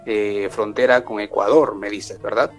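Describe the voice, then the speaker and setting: A man's voice: fairly low pitch, fairly even intonation, measured pace. Peruvian guy hosting a live show, lots of "eh" and "este", light music under him. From Peru. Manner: warm welcoming with frequent filler "eh" and "este". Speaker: host. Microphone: video call.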